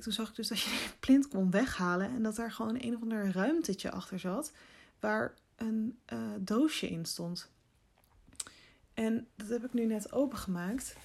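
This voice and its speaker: A woman's voice: fairly high pitch, a little mellow. An actress playing a curious, chatty young woman reporter, speaking casually and hesitantly as if leaving voice messages in an audio drama.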